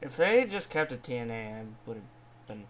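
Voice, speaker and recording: A man's voice: medium pitch, very animated, a little fast, crowd noise. A man chatting bluntly and informally as co-host on a casual wrestling podcast.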